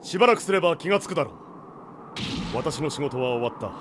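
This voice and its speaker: A man's voice: a little high, lively intonation, close to an everyday voice. Man playing a solemn giant robot warrior, noisy backdrop. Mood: calm, resolute. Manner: grave, deliberate, solemn. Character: stoic, noble giant robot warrior from space. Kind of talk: Japanese animated game cutscene acting.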